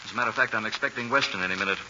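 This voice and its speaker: A man playing an urbane, unflappable gentleman detective in 1940s radio drama, crisp, formal and self-assured. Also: on the low side, rapid-fire, lightly acted. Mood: determined. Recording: muffled, noisy 1940s radio recording.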